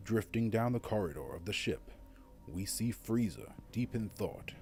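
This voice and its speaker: A man's voice: lowish voice, normal speed, a little mellow, soft background music. A man reading scripted story narration in a dramatic style. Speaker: narrator of a fan-made motion comic.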